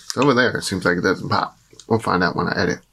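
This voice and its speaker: A man in his forties talks through a meal in a dark room, his low voice raspy and gravelly from a cold. He munches and chats casually between bites. The recording is noisy, with clicks under his voice. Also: fast talker. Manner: chatty, eats and talks with mouth full. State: hoarse from a cold. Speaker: food vlogger. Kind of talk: casual mukbang chat.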